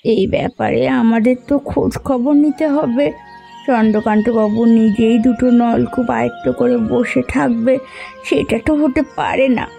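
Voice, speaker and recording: A woman's voice: a little high, moderate pace, vocal fry, dark tone, faint music behind. A woman voicing a long-suffering, plain-spoken villager in a fairy-tale cartoon. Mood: concerned.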